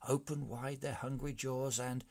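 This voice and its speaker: A man narrating a children's storybook aloud in an expressive, theatrical read-along style. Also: medium pitch, measured pace, breathy edge.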